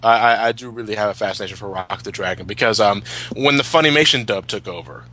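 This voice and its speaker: Bright-voiced man in rambling fan chat. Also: fairly low pitch, quick pace, a little hiss or hum. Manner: profane, stammers and repeats words. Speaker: commentary host.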